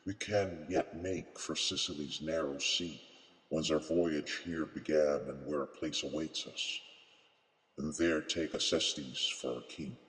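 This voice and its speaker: A man's voice: rumbling low, fairly even intonation, unhurried, a bit bright. A man playing a Trojan envoy who pleads formally and earnestly before a queen. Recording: long hall reverb.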